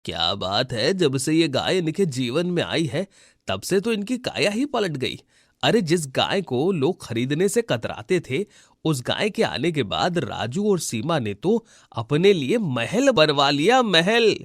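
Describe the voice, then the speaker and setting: A man's voice: a little high, big pitch swings, unhurried, dry recording, studio quality, heavily acted. A man voicing a gossiping village neighbour in a cartoon story, exclamatory and emphatic in manner. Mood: impressed.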